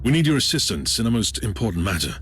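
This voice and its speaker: A man's voice: lowish voice, very animated, fast, fairly bright tone, faint music behind. An actor playing a self-important male demon hunter in a video game, imperious, curt and urgent. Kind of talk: video game cutscene acting.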